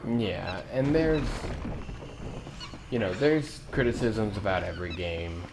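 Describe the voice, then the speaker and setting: A young man joking and chatting while playing a video game, relaxed and quick to laugh. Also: lowish voice, background noise. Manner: laid-back, joking, laughs often. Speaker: let's play co-commentator. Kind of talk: casual gaming chat. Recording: game music behind.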